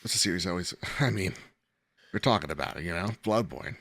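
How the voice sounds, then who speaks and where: A man's voice: sits low, lively intonation, brisk, creaky, fairly bright tone, no room sound. A man from New Jersey hosting a podcast, joking and reading out listener mail. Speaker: podcast host. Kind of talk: casual chat.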